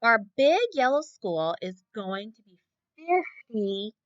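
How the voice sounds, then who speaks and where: Animated school principal read by a woman. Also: fairly high pitch, takes it slowly, no room sound, dull audio. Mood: announcing. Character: woman school principal making a proud announcement.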